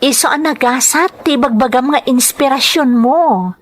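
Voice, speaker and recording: A woman acting as a fond, chatty mother in an Ilocano radio-style drama. She teases and praises her grown son with warm, motherly affection. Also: sits high, unhurried. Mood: teasing, proud.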